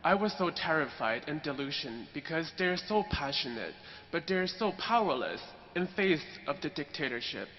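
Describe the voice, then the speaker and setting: A man's voice: fairly high pitch, very animated, normal speed. A young man from China with a Chinese accent, a student putting a prepared question to a speaker at a public forum. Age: young adult. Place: large hall at a public forum. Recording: dull, noisy hall microphone recording.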